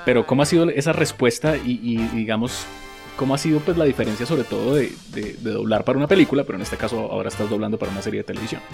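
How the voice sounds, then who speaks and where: A man hosts a radio interview, and he talks quickly. He strings his long questions together with plenty of asides. Loud music plays under his voice throughout. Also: mid-range voice, gravelly. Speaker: radio host.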